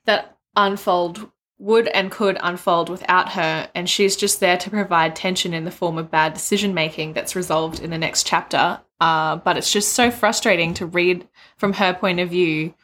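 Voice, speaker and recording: A woman's voice: lowish voice, fairly even intonation, moderate pace, a bit bright. A woman co-hosting a podcast, chatting casually about books in a rambling, wry, giggly way. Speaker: book podcast co-host. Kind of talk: casual chatty book discussion.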